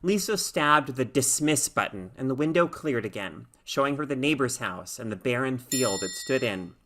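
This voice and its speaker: A woman reading a short story aloud in a measured, expressive narrating style. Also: very deep voice, background noise. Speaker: story reader.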